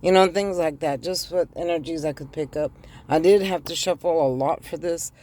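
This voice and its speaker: A middle-aged woman with a raspy voice after the flu, giving a casual tarot reading to camera and talking directly to viewers, with hesitant ums, recorded inside a car on a phone held in one hand. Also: deep voice, normal speed, dark tone. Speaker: tarot reader.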